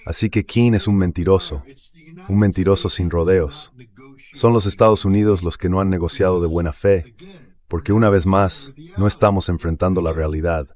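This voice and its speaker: A man with a deep voice delivers translated political commentary as an interview guest. He explains his points bluntly and with emphasis. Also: fairly even intonation, moderate pace, a little mellow.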